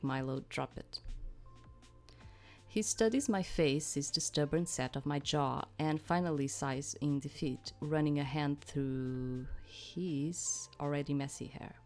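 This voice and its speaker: Lower-voiced woman playing and narrating a game. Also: unhurried, faint music behind. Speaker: let's play host. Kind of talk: reading game narration aloud with casual commentary.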